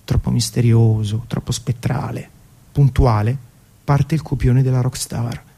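A man's voice: medium pitch, fairly even intonation, unhurried, mellow. A man, reading a scripted music documentary monologue in a theatrical, storytelling way with colloquial asides. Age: 30s. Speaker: radio presenter. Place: radio broadcast.

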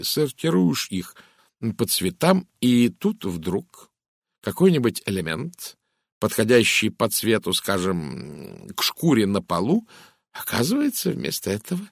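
Мужчина играет учтивого методичного сыщика, низкий скрипучий голос. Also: male voice, on the low side, creaky, husky, no room sound, clean studio sound, heavily acted. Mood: methodical, explaining. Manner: courteous, measured, deliberate. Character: polite, methodical little Belgian male detective. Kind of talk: audiobook character voice.

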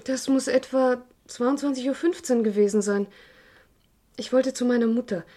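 An actress playing a composed, frank woman under police questioning in a crime radio play, measured and articulate. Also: on the high side, mellow, lightly acted. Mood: candid, troubled. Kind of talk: German crime radio drama acting.

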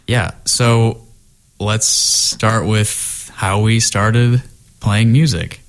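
Man, radio host, low voice, laid-back, chuckles often, studio mic. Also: fairly even intonation, very slow. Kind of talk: casual radio chat. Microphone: studio microphone.

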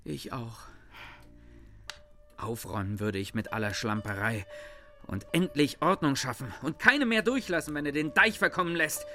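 Ein Schauspieler spielt in einem Hörspiel einen ernsten, fleißigen und strengen jungen Knecht. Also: male voice, medium pitch, lively intonation, normal speed, pushed and bright, faint music behind. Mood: stern, zealous. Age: young adult. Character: earnest, strict, diligent young farm lad, quick at sums. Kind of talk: radio play acting.

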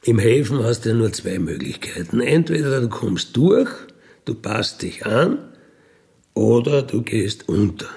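A man's voice: medium pitch, very animated, unhurried, creaky, a little mellow. A man of fifty-one with an Austrian accent tells his own story in a colloquial, matter-of-fact way, often adding a questioning tag to his statements. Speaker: career criminal telling his life story. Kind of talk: unscripted personal storytelling.

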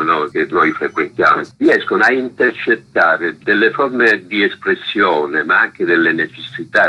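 A man's voice: low-pitched, husky. A man giving a discursive, explanatory radio interview as a guest, often ending phrases with a checking tag. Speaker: interview guest, representative of a self-managed arts centre. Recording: dull lo-fi radio interview audio.

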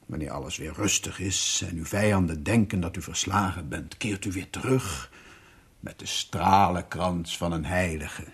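A troubled monarch of old Russia in a radio play. He is solemn and halting, with a low register and a husky grain to the voice. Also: full character voice. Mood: regretful, grave. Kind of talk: radio drama acting.

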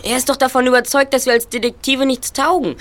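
A woman's voice: high voice, steady tone, fast, close to an everyday voice. A woman playing a bossy, self-assured teenage boy detective leader who speaks in precise, formal wording, in a German audio drama. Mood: persuasive.

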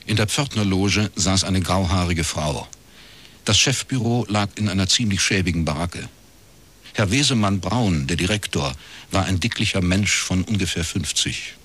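An actor playing a dry, probing private detective, matter-of-fact and laconic in a radio crime drama. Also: male voice, low-pitched, fairly bright tone, breathy edge, exaggerated voice. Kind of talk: radio drama dialogue and first-person narration.

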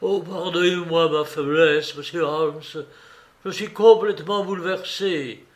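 A man voicing an exhausted, distraught gentleman visitor in an audiobook, pleading in a breathless, hurried way. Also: a little high, fairly even intonation, pitched above the performer's own voice, lightly acted.

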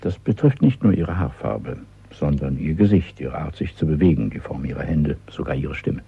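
Gravelly, deep-voiced stern conspirator. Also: male voice, animated, no room sound, exaggerated voice. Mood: probing, stern. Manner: measured, formal, commanding interrogator. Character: stern, controlled male conspirator and party official. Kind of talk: German radio play acting. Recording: muffled, narrow-band dry radio recording.

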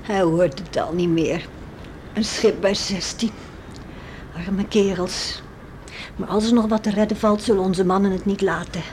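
A woman's voice: mid-range voice, steady tone, measured pace, long pauses, darkish tone, close to an everyday voice. An actress playing a devout, worried farmer's wife and mother in a radio play, earnestly musing aloud to herself. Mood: anxious, devout. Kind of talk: Dutch radio play acting, monologue and dialogue. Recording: faintly noisy radio play recording.

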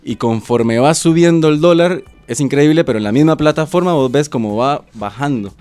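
A man's voice: fairly high pitch, normal speed, a little mellow, clear, resonant tone, soft background music. A man from Costa Rica chatting casually as a radio interview guest, colloquial, modest and a little hesitant. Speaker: interview guest, web series maker.

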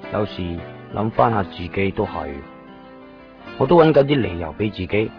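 A man's voice: fairly low pitch, animated, moderate pace, faint music behind, close to an everyday voice. A man acting a brooding young man, dull radio sound. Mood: wistful, musing. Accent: Hong Kong Cantonese. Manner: reflective, self-questioning. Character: brooding young man musing on his own emptiness. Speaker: radio drama actor. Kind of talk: 1990s Cantonese radio drama monologue. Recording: dull lo-fi 1990s radio recording.

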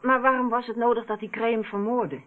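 A woman's voice: a little high, fairly even intonation, fairly quick, close to an everyday voice. An actress playing a curious, chatty woman in a Dutch radio play, on an old narrow-band recording.

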